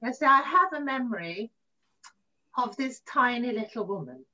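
Higher-pitched middle-aged woman, halting storyteller. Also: lively intonation, pushed and bright. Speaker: interview guest, actor. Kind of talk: casual reminiscing in an interview. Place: room at home, on a video call. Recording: muffled video call audio.

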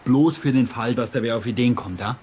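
A man's voice: mid-range voice, a little fast, a little mellow, slight background noise, lightly acted. An actor playing a spiteful, defensive man with a Bavarian accent under police questioning in a radio crime drama, brusque and indignant. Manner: brusque, indignant, self-justifying. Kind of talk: naturalistic German radio crime drama acting.

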